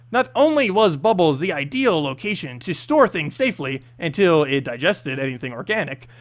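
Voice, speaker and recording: Animated, high-voiced man narrating a story. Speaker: narrator and host of a lore reading series.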